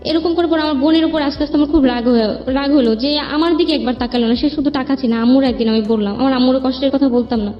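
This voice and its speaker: A woman with a fairly high voice and a Bangladeshi accent recounts her own life as an interview guest. She speaks in a plain, rambling way and acts out reported dialogue. The sound is slightly dull, with music playing behind her. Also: fast, hardly any pauses. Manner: rambling, plain-spoken narration with quoted dialogue. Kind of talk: personal storytelling interview. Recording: band-limited dull sound with background music.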